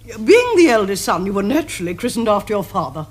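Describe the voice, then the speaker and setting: An actress playing a haughty older aristocratic Victorian aunt with an upper-class English accent, stately and withering in a television period comedy. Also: female voice, mid-range voice, big pitch swings, quick pace. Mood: dignified, evasive. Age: middle-aged.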